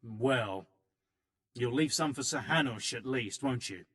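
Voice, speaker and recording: Sceptical guardsman, very expressive. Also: medium pitch, unhurried. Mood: amused, relenting. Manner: sceptical, interrogating. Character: suspicious male guard, gruff but easily won over. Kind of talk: video game cutscene acting.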